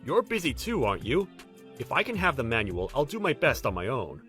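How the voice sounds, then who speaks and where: A man's voice: medium pitch, wildly swooping pitch, brisk, faint music behind. A man voicing a mild-mannered, humble older office newcomer in an anime-style dub, speaking politely and apologetically. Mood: humble, grateful. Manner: polite, soft-spoken, apologetic.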